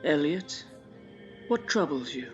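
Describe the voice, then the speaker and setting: An actor playing an eerie, solemn, questioning man in a gothic audio drama, hushed and dramatic. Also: fairly high pitch, lively intonation, takes it slowly, highs cut off, soft background music. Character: eerie, solemn priest and questioning male listener.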